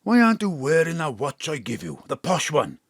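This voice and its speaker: A cynical Welsh crook and family man in an audio play. His husky voice swings freely up and down as he talks in a blustering, streetwise patter. The sound is close and dry. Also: fairly high pitch, huge pitch swings, a little fast, no room sound, exaggerated voice. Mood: fond, wily. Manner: colloquial, blustering, streetwise lecturing. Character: roguish thief father, cynical, selfish, proud of stealing. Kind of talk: audio drama acting.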